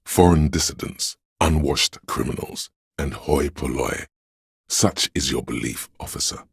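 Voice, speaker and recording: A man narrating a game script in a measured, grave, literary manner, with a very deep, gravelly, husky voice. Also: dry recording, studio quality.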